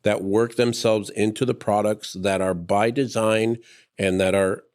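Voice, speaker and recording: A man speaking as a manufacturer's guest in a podcast, hesitant, with many pauses and restarts. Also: lowish voice, fairly even intonation, darkish tone, smooth. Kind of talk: casual chat.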